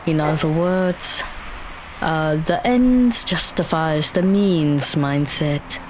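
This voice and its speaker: A woman's voice: deep voice, slow pace, a little hiss or hum, low-quality recording. An actress playing a wry, level-headed teenage girl with a dry, sarcastic manner in an audio drama. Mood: teasing. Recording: loud music behind the voice.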